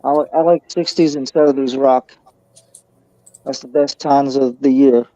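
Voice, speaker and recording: A man in casual group chat about his likes, talking in a halting, earnest way with many restarts. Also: mid-range voice, fairly even intonation, dark tone, lo-fi sound. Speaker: guest talking about himself.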